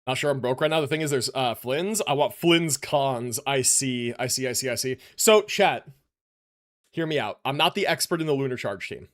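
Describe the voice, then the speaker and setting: A man's voice: medium pitch, expressive, normal speed, fairly bright tone. A man hosting a livestream, chatting casually with viewers in an informal way and often repeating phrases for emphasis. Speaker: live streamer host.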